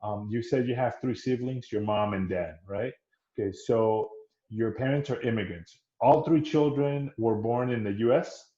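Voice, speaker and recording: A man's voice: mid-range voice, moderate pace, mellow, roomy sound, highs cut off. A man hosting an interview podcast, with a formal, earnest way of speaking that often repeats words. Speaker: podcast host.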